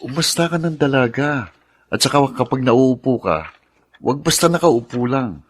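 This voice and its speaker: Radio drama: actor as protective young Filipino older brother, nagging and teasing, medium-pitched. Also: male voice, expressive, normal speed, dry recording, lightly acted.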